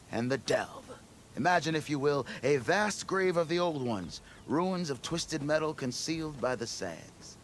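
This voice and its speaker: An actor playing a blustering, scheming expedition leader in a video game, with grandiose storytelling. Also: male voice, medium pitch, a bit bright, slight background noise. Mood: dramatic, boastful. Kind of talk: video game cutscene acting.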